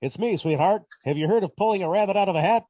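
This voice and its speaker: A man's voice: high voice, quick pace, dark tone, no room sound, pitched above the performer's own voice. A man playing an irritable, wisecracking private detective with sardonic, theatrical delivery in the style of 1940s radio. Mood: wry, breezy. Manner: sardonic, theatrical, hard-boiled.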